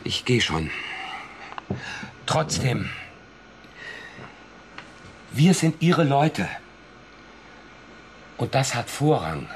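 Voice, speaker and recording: An actor playing a proud, wheedling small-town farmer in a radio drama, speaking in a familiar, insistent and emotional way. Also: male voice, mid-range voice, big pitch swings, extremely slow, long pauses, slight background noise, full character voice. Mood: wounded, insistent. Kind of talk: German radio drama acting.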